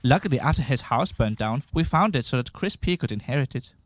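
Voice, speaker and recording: A man's voice: medium pitch, animated, a little fast, no room sound, close to an everyday voice. A man acting a fussy, earnest baby-faced pig in a comic radio play. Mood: earnest, chatty. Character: fussy baby-faced pig, bereaved brother, storybook character.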